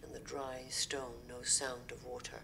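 A woman giving a dramatic, slow and deliberate reading of poetry. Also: extremely low pitch, completely flat delivery, creaky, sharp tone, slight background noise, low-quality recording. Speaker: poetry reader.

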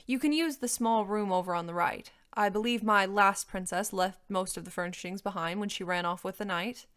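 A woman voicing a self-possessed dragon in a storybook, measured, dry and matter-of-fact. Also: mid-range voice, a bit bright, clean tone, close to an everyday voice. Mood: businesslike.

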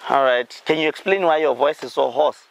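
A man's voice: fairly high pitch, brisk, rough recording. A man hosting a vlog, casually walking through an outdoor campsite and explaining it with easy fillers, recorded on a handheld camera outdoors. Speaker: vlog host. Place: outdoor campsite in dry bush. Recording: outdoor camera audio with clear noise.

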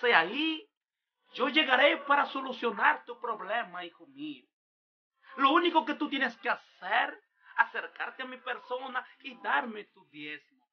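Someone doing a greedy con-man preacher. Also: highs cut off. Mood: preachy. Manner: preachy, grandiose, booming. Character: swindling male preacher, greedy, theatrical. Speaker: comic character pastor. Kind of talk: comedic mock sermon.

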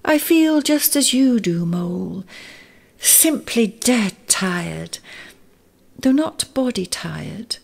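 A woman's voice: mid-range voice, big pitch swings, slow, gravelly, a little mellow, exaggerated voice. A woman voicing a kindly male water rat who talks in a languid murmur. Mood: contented.

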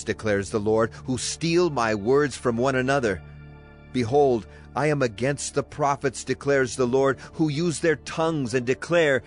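A man gives a solemn, declamatory dramatized reading of scripture as a Bible narrator. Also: medium pitch. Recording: clear music behind the voice. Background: music.

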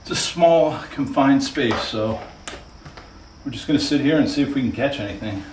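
A middle-aged man with a Southern US accent, filming himself alone at night in an abandoned wooden shed and talking to his viewers in a hushed, jittery way. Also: mid-range voice, expressive, moderate pace, a little hiss or hum, rough recording. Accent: Floridian American. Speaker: paranormal explorer vlogger. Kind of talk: solo ghost-hunting vlog.

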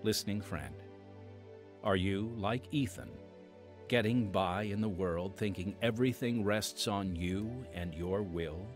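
An American man hosting a devotional podcast in scripted narration, with measured, emphatic, dramatic phrasing. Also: fairly low pitch, music in the background. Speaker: podcast host and preacher.

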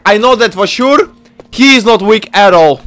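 A man hosting a gaming video with excited, exclamatory commentary, stammering and peppering his talk with slang. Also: high-pitched, moderate pace.

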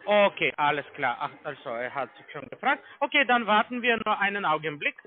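Male call-center manager type, brisk, fluent with small slips, higher voice, phone line. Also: big pitch swings. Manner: brisk, smooth-talking, minor grammar slips.

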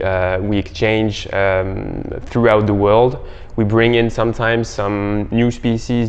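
A young man giving halting, explanatory answers as an interview guest. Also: lowish voice, clear, resonant tone. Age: young adult. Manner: halting, hesitant phrasing. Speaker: startup co-founder, interview guest. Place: bright indoor living room. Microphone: clip-on lapel mic. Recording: muffled, reverberant room.

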